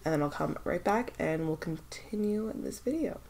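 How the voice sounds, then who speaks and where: A woman's voice: fairly low pitch, expressive, normal speed, vocal fry, darkish tone. A young woman vlogging about skincare samples, stuffed up from a cold, chatty and hesitant with lots of fillers as she thinks aloud. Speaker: beauty vlogger. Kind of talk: casual product-testing vlog.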